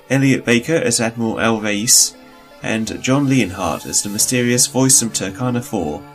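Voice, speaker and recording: Male credits announcer, mid-range voice, scripted delivery over light music. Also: mid-range voice.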